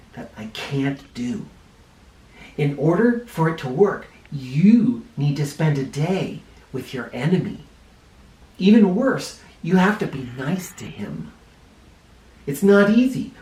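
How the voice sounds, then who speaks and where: Man reading as calm sly dad, kindly, measured, confiding, storybook tale, echoey distant recording. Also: on the high side. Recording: roomy echo, distant mic.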